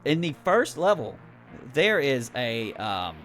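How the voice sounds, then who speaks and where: A man's voice: mid-range voice, very animated, slow pace, a bit bright, a little hiss or hum. A man giving an unscripted, casual game review in a rambling, blunt, griping and sweary way.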